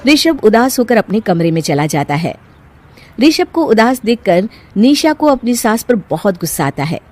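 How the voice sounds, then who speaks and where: A woman's voice: fairly high pitch, sing-song, moderate pace, a little mellow. A woman narrating a scripted story as its storyteller.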